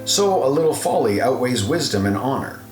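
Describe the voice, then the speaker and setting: A man narrating an audio Bible, reading scripted scripture in a measured, solemn recitation. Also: mid-range voice, big pitch swings. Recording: roomy echo, guitar music underneath.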